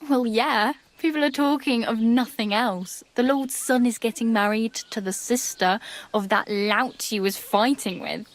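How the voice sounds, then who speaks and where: Woman acting a plucky, high-voiced young beggar woman. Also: unhurried, lightly acted. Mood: teasing. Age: young adult. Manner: rustic, folksy, teasing. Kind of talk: video game dialogue acting. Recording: background music under the dialogue.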